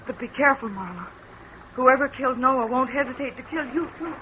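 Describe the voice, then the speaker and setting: A woman's voice: high voice, animated, fairly quick. An actress playing a frightened young woman caught up in a murder, pleading in old radio-drama style. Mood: anxious. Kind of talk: 1940s radio acting. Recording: dull old radio broadcast with faint hiss.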